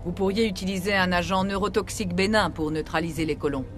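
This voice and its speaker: A woman's voice: fairly low pitch, fairly even intonation, fast, over music, lightly acted. An actress playing a principled middle-aged mother and colony scientist in a game dub, firm and composed. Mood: reasoned, grateful. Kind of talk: dramatic French game dub acting.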